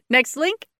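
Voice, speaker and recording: Woman with a fairly low voice, dry mic. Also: no echo. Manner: lively, chatty, laughs often. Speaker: podcast co-host. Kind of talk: casual chat presenting a topic.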